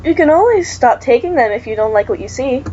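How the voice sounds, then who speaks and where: A woman's voice: sits high, lively intonation, brisk, warm tone, dull audio, slight background noise. A woman reading the lines of a patient, soothing mother figure in a horror game. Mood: reassuring. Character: calm reassuring mother figure.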